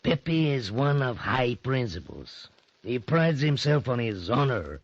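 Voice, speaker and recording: An actor playing a sinister, oily Argentine fight manager with a Spanish accent, deliberate and given to repeating words, in radio drama style. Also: male voice, mid-range voice, very animated, dry recording, heavily acted. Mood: conspiratorial. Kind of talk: 1940s-50s radio drama acting. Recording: muffled vintage radio recording.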